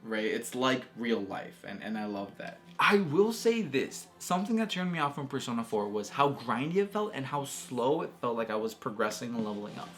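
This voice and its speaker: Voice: mid-range voice, sing-song, moderate pace, few pauses. A video game reviewer giving casual, enthusiastic commentary in a conversational, emphatic way with rambling asides. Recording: music playing under the voice. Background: background music.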